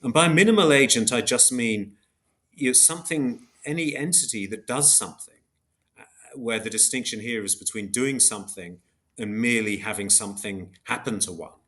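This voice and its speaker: A man's voice: mid-range voice, moderate pace, fairly bright tone. A man explaining concepts as an academic interview guest, in a precise but hesitant way, often correcting himself. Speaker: philosopher guest, book author.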